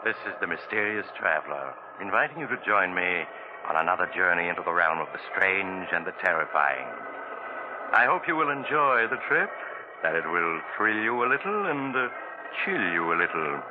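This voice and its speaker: A very low, sinister traveler narrates with slow, theatrical menace. It sounds like an old 1940s radio horror broadcast. The audio is muffled and full of hiss. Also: male voice, big pitch swings. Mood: ominous. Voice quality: slow, ominous, theatrical delivery. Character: sinister male traveler narrating tales of terror. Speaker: narrator and host.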